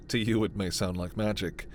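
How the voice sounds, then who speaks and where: A man playing a gentle, scholarly, protective alien doctor in a sci-fi ASMR roleplay, speaking in a measured, formal, precise way. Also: on the low side, slight background noise. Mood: calm, informative.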